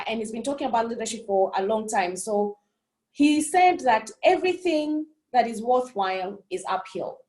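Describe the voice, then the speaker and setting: A woman's voice: on the high side, darkish tone, roomy sound, low-quality recording. A woman from Burundi giving a passionate conference keynote, earnest and repeating phrases for emphasis. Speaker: keynote speaker. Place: on a remote call.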